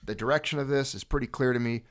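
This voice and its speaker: A man's voice: mid-range voice, quick pace. Chatty middle-aged man, rambling jokes. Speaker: channel host. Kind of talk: casual chat. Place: home.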